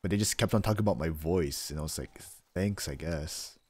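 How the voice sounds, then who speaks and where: A young man streaming a hunting game, chatting in a deadpan, rambling way from his home desk. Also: low-pitched, fairly quick, breathy edge, dry recording. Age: young adult. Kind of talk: casual chat while gaming. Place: home room, seated.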